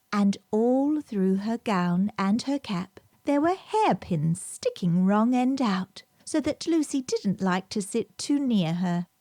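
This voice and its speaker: Female storybook narrator: unhurried, expressive, very varied intonation, gentle music underneath. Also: mid-range voice. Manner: measured, expressive, dramatic emphasis. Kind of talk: scripted children's story narration. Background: gentle background music.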